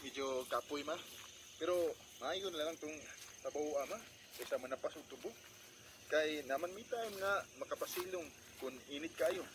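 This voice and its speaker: A man's voice: a little high, normal speed, background noise, close to an everyday voice. A man playing a humble, anxious young construction worker in a radio drama, with a Cebuano Filipino accent and a deferential, plain way of talking. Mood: weary, accepting. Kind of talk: Philippine radio drama acting.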